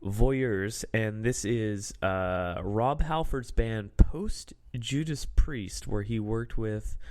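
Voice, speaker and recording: A man's voice: fairly low pitch, takes it slowly, a little mellow. A man hosting a podcast in casual chat, laid-back and rambling, a little drunk. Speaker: podcast host.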